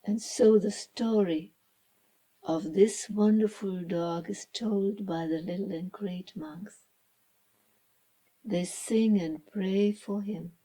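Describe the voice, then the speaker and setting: A woman reading a children's story as its author, in a dramatic storybook style with hushed, breathy phrases and deliberate pauses. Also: mid-range voice, fairly even intonation, rough recording. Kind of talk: slow dramatic storybook narration.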